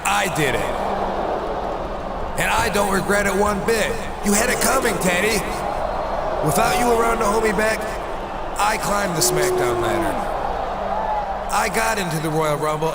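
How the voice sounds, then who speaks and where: A man's voice: sits high, normal speed, pauses often. A man playing a cocky, defiant wrestler making declamatory boasts in a video game promo. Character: cocky, defiant male wrestling champion-to-be, proud and unrepentant. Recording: noisy arena with loud crowd.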